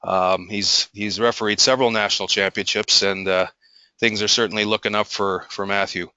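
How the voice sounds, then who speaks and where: A man with a bright, crisp voice introduces the guest speaker, stalling on small fillers as he goes. The sound is dry and a bit dull, as if heard over a call. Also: fairly low pitch, level tone, normal speed, no room sound.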